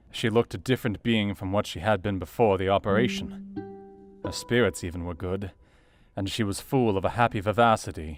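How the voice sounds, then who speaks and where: An actor playing an earnest, caring Victorian doctor in a radio play, narrating his diary in a measured, formal way. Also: sits low, close to an everyday voice. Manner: measured, formal, literary delivery. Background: plucked guitar music.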